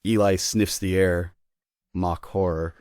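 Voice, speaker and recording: A man's voice: sits low, steady tone, takes it slowly, darkish tone, no echo, studio quality. A man reading out scripted stage directions as the narrator of a play table read.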